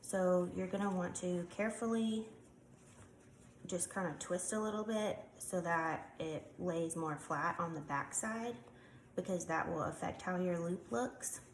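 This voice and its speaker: Congested woman with a lowish voice, talking through a hands-on demo with ums; echoey room, faint noise. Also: measured pace. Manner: chatty, explains while working with hands, frequent ums. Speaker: craft tutorial maker. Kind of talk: casual step-by-step demonstration.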